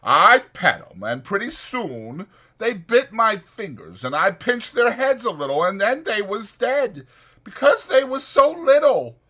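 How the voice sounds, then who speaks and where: A man voicing a big, simple-minded, meek farmhand with a slow, childish, pleading rural way of talking in an audiobook. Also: high-pitched, sing-song, pushed and bright, voice pushed up, exaggerated voice. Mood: sad, apologetic.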